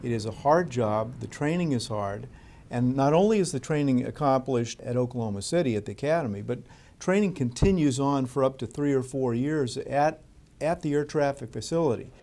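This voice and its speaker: Mellow-voiced man giving interview answers. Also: mid-range voice, animated, moderate pace.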